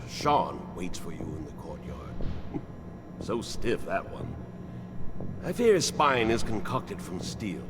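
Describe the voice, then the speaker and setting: An actor playing a kindly, absent-minded older medic in a video game, fussy and rambling with quaint turns of phrase. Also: male voice, deep voice, heavily acted. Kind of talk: scripted video game dialogue.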